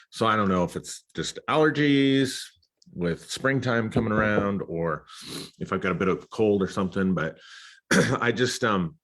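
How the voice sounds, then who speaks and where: A man hosting a casual live stream, stuffed up and sniffly, talking haltingly with lots of fillers and throat clearing. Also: sits low, fairly bright tone. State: congested, sniffly. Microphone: computer or phone mic.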